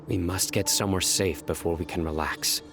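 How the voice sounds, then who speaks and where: Brief: a calm, serious game warrior, low and measured. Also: male voice, vocal fry, over loud music. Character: stern young male desert warrior, calm and watchful. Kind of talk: game voice acting.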